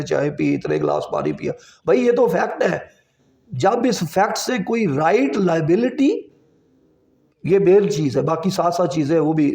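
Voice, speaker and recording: A man with a Pakistani accent giving a classroom law lecture, explaining deliberately with examples, rhetorical questions and English legal terms mixed in. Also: sits high, a little mellow. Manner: deliberate, didactic, repeating key terms and asking rhetorical questions.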